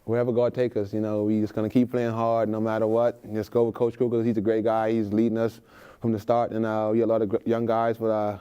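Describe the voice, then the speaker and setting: A man's voice: on the low side, completely flat delivery, quick pace, mellow, clean tone, lo-fi sound. A young man from the Bahamas with a Bahamian accent, answering interview questions in a laid-back way with many fillers. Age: young adult. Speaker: college basketball player guest.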